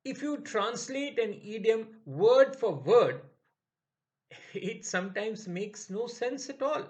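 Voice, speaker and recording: A man narrating a scripted English idioms lesson in a slow, measured way. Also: high voice. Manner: slow, measured, lively intonation. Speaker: English teacher.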